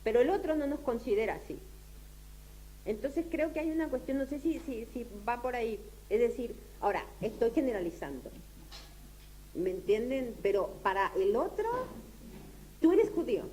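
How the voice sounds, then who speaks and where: A woman's voice: animated, dark tone, poor audio. A woman lecturing informally, often repeating herself and checking that her listeners follow. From Latin America. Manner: halting, repetitive, checks that listeners understand. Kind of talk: informal lecture with audience questions. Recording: clear background noise, low hum.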